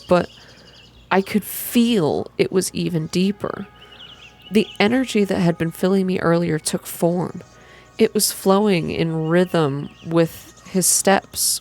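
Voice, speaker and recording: A woman reading a story game aloud in ASMR style, slow and gentle, with casual asides. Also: on the low side. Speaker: game streamer reading a visual novel aloud.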